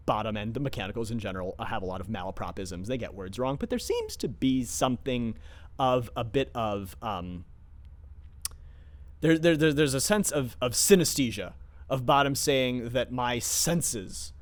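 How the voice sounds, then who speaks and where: A man speaking as a theatre director in an informal, scholarly talk, enthusiastic but often searching for words as he explains. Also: mid-range voice. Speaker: theatre director discussing his production.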